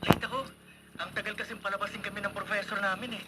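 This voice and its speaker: A man acting a quick, high-voiced college boy. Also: flat delivery, natural voice. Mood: friendly. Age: young adult. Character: friendly, easygoing young male college student. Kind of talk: Philippine radio drama acting. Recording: very noisy radio recording with clicks.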